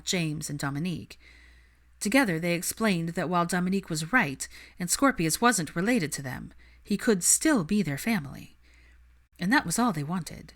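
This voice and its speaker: A woman's voice: fairly low pitch, a bit bright. A woman reading a story aloud as a narrator, in a scripted storytelling style. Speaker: audiobook-style narrator of fan fiction.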